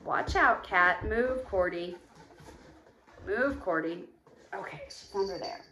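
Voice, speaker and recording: A woman's voice: fairly low pitch, lively intonation, slow pace. A middle-aged woman chatting casually to camera at home, rambling and counting items aloud as she shows a shopping haul. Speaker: vlogger showing a shopping haul. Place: echoey room at home. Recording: echoey home room, faint noise.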